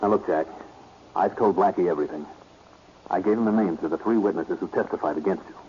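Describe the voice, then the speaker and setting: An actor as an earnest young lawyer, fairly low-voiced. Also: male voice, fast talker, natural voice. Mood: anxious. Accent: American. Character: earnest, anxious young male attorney. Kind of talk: 1940s radio drama acting. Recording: muffled old radio recording, loud hiss.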